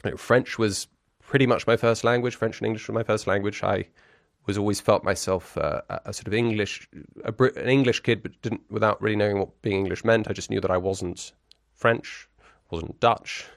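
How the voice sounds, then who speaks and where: Man, 40s, grew up in Brussels, interview guest, reflective and hesitant, wry, lower pitch, dry close sound. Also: fairly even intonation, a little fast, vocal fry, no echo. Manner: hesitant, many restarts and fillers, articulate and wry.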